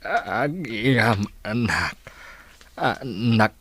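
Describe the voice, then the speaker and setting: A man's voice: mid-range voice, lively intonation, very slow. An actor playing an ailing, weary father in a radio drama, stammering through broken words with an Ilocano accent. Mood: weary, tender.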